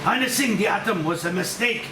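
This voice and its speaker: An older Japanese scientist played by a man, solemn and full of remorse. His voice sits high for a man and comes in halting, weighty phrases. It is a table read heard from off the mic in an echoey room with clear hiss. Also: expressive, far from the mic. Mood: remorseful, grave.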